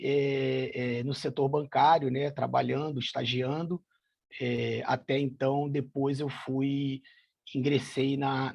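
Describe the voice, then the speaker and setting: A man's voice: medium pitch, gravelly, slightly rough, lo-fi sound. A middle-aged man from São Paulo with a Carioca accent, answering interview questions in a hesitant way with lots of fillers. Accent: Carioca (Rio de Janeiro). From São Paulo, Brazil. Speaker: guest, association president.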